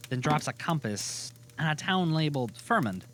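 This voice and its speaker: Animated male audio drama narrator. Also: fairly high pitch, normal speed.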